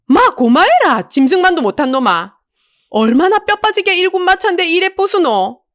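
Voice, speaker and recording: An indignant market trader in an audiobook melodrama, voiced by a woman, scolding loudly in a Busan accent. The pitch jumps high and swings widely. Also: very animated, normal speed, dry recording, studio quality. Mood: indignant pleading. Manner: loud, scolding. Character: indignant male market vendor defending his neighbour.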